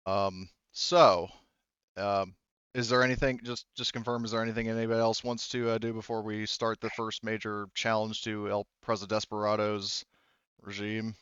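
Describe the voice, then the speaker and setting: A man with a low, smooth voice acts as game master for a tabletop session. He improvises in a loose, conversational way, working out the story as he speaks. The sound is dry and slightly dull. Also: little pitch movement, moderate pace, fairly bright tone, no room sound.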